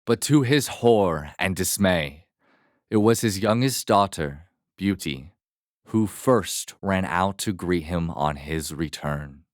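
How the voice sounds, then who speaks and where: A man narrating a scripted fairy tale as a storyteller, measured, expressive and dramatic. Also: low-pitched, dry recording, studio quality.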